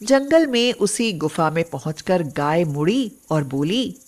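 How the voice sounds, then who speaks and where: A woman's voice: fairly low pitch, expressive, unhurried, darkish tone. A woman reading scripted narration for moral bedtime stories as the storyteller.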